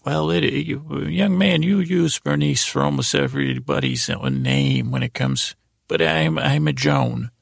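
A man's voice: medium pitch, steady tone, vocal fry, no echo, clean studio sound. A man voicing a chatty elderly lady, kindly but firm, in a hesitant, folksy way for a comic bedtime story. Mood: fussy, curious. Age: older. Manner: halting, folksy, addresses listener as young man.

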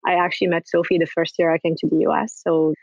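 A woman's voice: lowish voice, level tone, fast, darkish tone, no echo, highs cut off. A woman in her thirties from Morocco, a company co-founder answering interview questions in a talkative way and leaning on "basically". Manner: talkative, repeats words and fillers like "basically".

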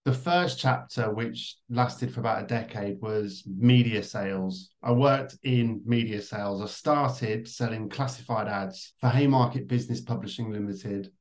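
A man in his forties, a podcast guest, talking in a relaxed, conversational way. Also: fairly low pitch, unhurried, hardly any pauses. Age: 40s. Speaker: podcast guest, agency founder. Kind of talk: casual interview chat.

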